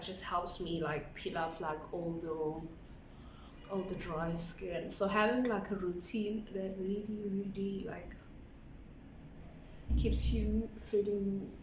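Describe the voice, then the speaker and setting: A woman's voice: medium pitch, darkish tone, slight background noise. A woman, talking through her self-care routine in a casual, rambling vlog, filling her speech with "like". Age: 20s. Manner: chatty, rambling, fills with "like", laughs often. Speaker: vlogger. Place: indoor room at home. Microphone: camera on a stand. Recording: roomy, slightly distant mic with faint ambience.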